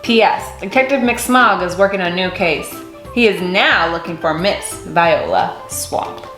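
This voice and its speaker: Animated slow woman reading a storybook. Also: on the low side, reverberant, music in the background. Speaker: read-aloud storyteller. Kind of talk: picture-book read-aloud.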